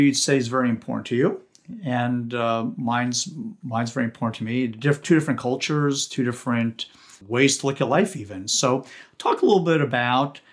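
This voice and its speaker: A man whose first language is Ukrainian, hosting a casual podcast chat in an earnest, halting way with frequent restarts. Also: medium pitch. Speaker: podcast host. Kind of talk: casual conversational interview.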